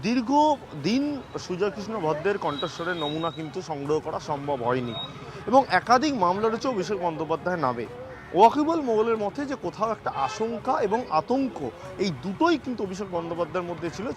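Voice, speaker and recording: A man's voice: high-pitched, sing-song, a little fast. A man reporting live for the news off the cuff, in an emphatic, repetitive way with hesitant phrasing.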